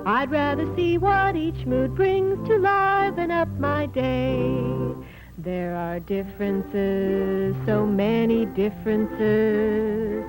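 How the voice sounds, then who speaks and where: A woman's voice: fairly high pitch, low-quality recording. A woman delivering lyrical, sing-song narration for a vintage educational film, with music behind her. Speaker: narrator and singer.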